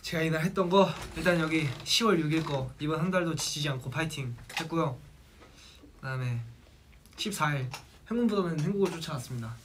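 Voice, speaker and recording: A man's voice: a little high, fairly bright tone, a little hiss or hum. A young man from South Jeolla chatting casually with fans on a livestream and telling stories. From South Jeolla, Korea. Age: young adult. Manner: quick, chatty storytelling, reading out a list. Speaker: idol group member on a livestream. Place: small indoor room with a plain wall.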